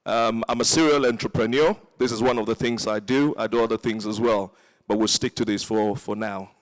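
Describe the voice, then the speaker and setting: A man from Nigeria introducing his business on a panel, relaxed and easygoing. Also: lowish voice, brisk. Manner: relaxed, with small laughs and fillers. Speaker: restaurant CEO, panelist.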